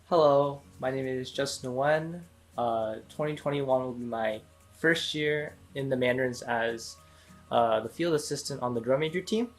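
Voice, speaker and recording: Young man, from Sacramento, measured, polite, video call, faint music. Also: mid-range voice. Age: young adult. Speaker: field assistant.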